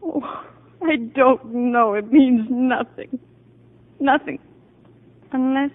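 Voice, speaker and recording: An actress playing a confused, fragile married psychiatric patient, hesitant and bewildered, in 1950 radio drama style. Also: female voice, sits high, slow. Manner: hesitant, bewildered, childlike questioning. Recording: muffled narrow-band 1950 radio broadcast.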